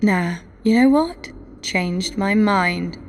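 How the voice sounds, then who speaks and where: An actress in an audio drama playing a cocky, foul-mouthed adventurer, mocking and sarcastic. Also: female voice, mid-range voice, expressive, slow pace, slight background noise.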